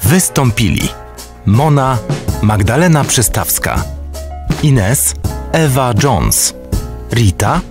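A man announces credits from a script. His mid-range voice moves with lively intonation at a slow pace, with music clearly behind him. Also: medium pitch.

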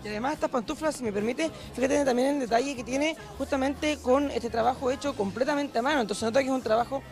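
Man reporter, chilean accent, brisk upbeat pitch to viewers, indoor fair hall. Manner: upbeat, persuasive, addresses viewers directly. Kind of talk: promotional TV fair walkthrough. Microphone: handheld mic. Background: background music.

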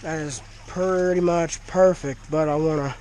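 A man's voice: a little high, fairly even intonation, measured pace, a little mellow, slight background noise, low-quality recording. A congested man, chatting casually while filming his fish tank in a dim cinder-block shed. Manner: folksy, chatty. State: congested, talking through a blocked nose. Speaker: fish-keeping vlogger. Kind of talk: casual vlog to camera. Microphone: action camera worn by the speaker.

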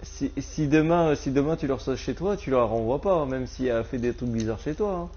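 A man's voice: mid-range voice, fairly quick, darkish tone, slightly rough. A man making casual, slangy prank-call banter on a live stream, with a cheeky manner. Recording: dull lo-fi live stream audio.

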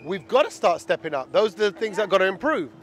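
A middle-aged man working as a fan-channel reporter, chatting in an animated way as he interviews sports fans on a handheld microphone outdoors. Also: high-pitched, fast, slight background noise. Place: outdoors in a busy street outside a stadium.

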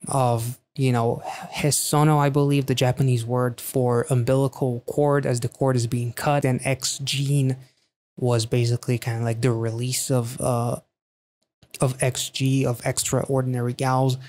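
A man in his thirties giving casual reaction commentary in a halting, thinking-aloud way, close on a studio microphone. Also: mid-range voice, a little mellow. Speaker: reaction video host. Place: small quiet indoor home studio.

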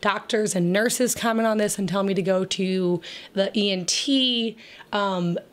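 A young woman with a raspy, crackly voice, chatting casually as a homemaking vlogger over her cleaning footage, rambling with fillers and little laughs. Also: mid-range voice, a little slow.

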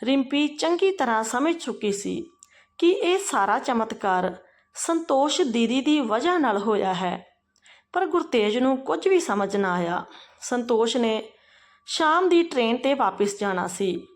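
A woman's voice: a little high, sing-song, measured pace. A woman narrating an emotional family story from a script.

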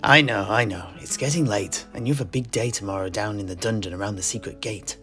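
A man's voice: lowish voice, fast, fairly bright tone, faint music behind. An older man hosting an audio drama in character as a tavern keeper, genial and lecturing.

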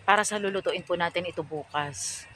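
A woman's voice: fairly low pitch, fairly quick, fairly bright tone, a little hiss or hum. A middle-aged woman narrating a casual walking vlog outdoors in a farm field, talking politely and chattily with frequent fillers into a phone on a selfie stick. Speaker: vlogger.

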